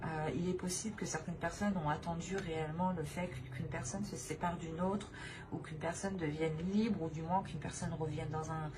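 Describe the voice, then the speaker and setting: A woman giving an informal spiritual tarot reading, halting and repeating herself as she searches for words. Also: low voice, fairly even intonation. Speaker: tarot reader hosting a channel. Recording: clearly noisy recording with ambient sound.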